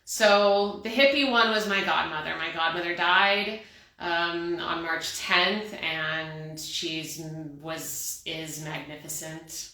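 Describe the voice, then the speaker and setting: A chatty woman from Texas hosts her own show, talking candidly in a light Texan accent and laughing often. Her voice is bright and forward. The recording rings with heavy room echo. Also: fairly low pitch, fairly even intonation, slow. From Texas, USA. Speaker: podcast host. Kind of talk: casual solo chat. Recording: echoey room.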